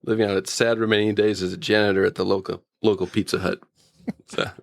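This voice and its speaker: A male co-host talks in a casual, joking review-chat style. His voice is fairly low, and the recording is dry with little room sound. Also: little pitch movement, fast talker, creaky, no echo. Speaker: podcast co-host.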